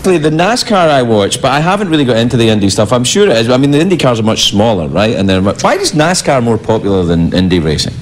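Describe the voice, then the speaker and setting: A man hosting an interview, chatty and agreeable, quick to joke along with his guest. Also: medium pitch, big pitch swings. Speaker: interview host. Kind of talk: casual interview chat. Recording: noisy recording with loud crowd.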